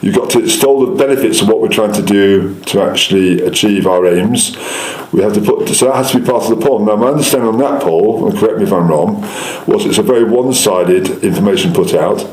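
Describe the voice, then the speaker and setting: A man's voice: fairly low pitch, a little fast, hardly any pauses, darkish tone. An English man speaking as a local councillor in an interview, insistently explaining and repeating his points to persuade. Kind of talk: interview answers, political persuasion. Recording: echoey room.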